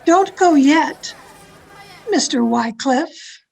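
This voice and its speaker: A woman playing an obsessive, lovestruck mystery novelist in melodramatic old-time radio style, with pleading, deliberate delivery. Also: high-pitched, animated, vocal fry, exaggerated voice. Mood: desperate. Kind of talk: 1940s radio mystery acting and narration. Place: at home, online video-call performance.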